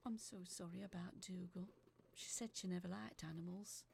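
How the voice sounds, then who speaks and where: A woman playing a feisty woman recounting a strange ordeal in expressive, sharp-tongued audio drama narration. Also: on the low side, moderate pace, creaky, slight background noise. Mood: rueful, bitter. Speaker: narrator reading a letter aloud. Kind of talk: dramatic first-person storytelling in audio fiction.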